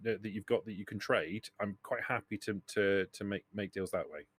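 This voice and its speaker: A man acting as game master, narrating and bargaining in a halting way that repeats words. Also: on the low side, a bit bright, breathy edge, no echo. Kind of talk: improvised tabletop game narration and negotiation in a trader's role.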